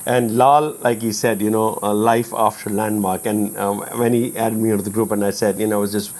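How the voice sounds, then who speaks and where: A man from Pakistan, a native Urdu speaker, chatting casually, giving frequent backchannels and climbing high in pitch when excited. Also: a little fast. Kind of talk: casual conversation.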